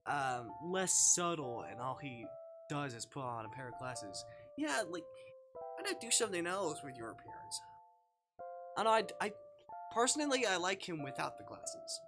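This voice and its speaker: A man live-streaming a visual novel, reacting casually in an animated, giggly way as he comments and talks to chat. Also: on the high side, unhurried, over music.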